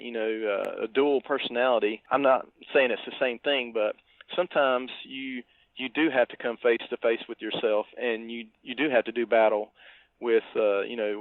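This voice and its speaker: A male co-host comes through on a narrow, phone-like line with very little room sound. His pitch is average, and he comments casually on a film, halting and reflective. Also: dry recording. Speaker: podcast co-host. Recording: thin phone-like band-limited line.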